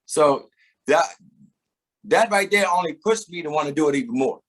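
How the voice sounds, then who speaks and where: A man's voice: fairly high pitch, expressive, moderate pace. A middle-aged man, a singer, talking reflectively in a casual interview, often laughing and repeating words as he starts a thought.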